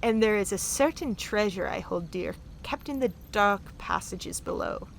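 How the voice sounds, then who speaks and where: A woman performs a courtly, scholarly guide from a space adventure. Her voice has a marked creak and a medium pitch, and she speaks formally and with assurance. There is faint noise behind her. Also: sing-song, a little slow. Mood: gracious, assured. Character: gracious, self-assured female alien dignitary, scholarly guide. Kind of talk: sci-fi audio drama acting.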